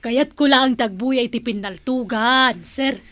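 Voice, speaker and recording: A woman's voice: fairly high pitch, measured pace, a bit bright, no echo. An Ilocano Filipino woman playing a nosy, fearless aunt in a radio drama. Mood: curious, fearless. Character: nosy, unafraid aunt eager to watch a gunfight.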